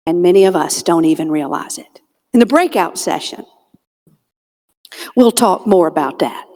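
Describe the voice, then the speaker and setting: A woman's voice: fairly low pitch, expressive, moderate pace, dark tone. Southern woman keynoting in a reverberant hall. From Georgia, US. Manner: dramatic storyteller, drops to a near whisper on key lines, comic asides. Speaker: motivational keynote speaker and comedian. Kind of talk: humorous motivational keynote storytelling. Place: conference hall stage. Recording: long reverb of a large hall.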